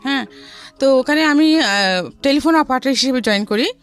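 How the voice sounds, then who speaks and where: A middle-aged woman with a Bangladeshi accent telling her life story in a studio interview, haltingly and often repeating phrases. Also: high-pitched. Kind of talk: personal storytelling in a radio interview. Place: radio studio. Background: background music.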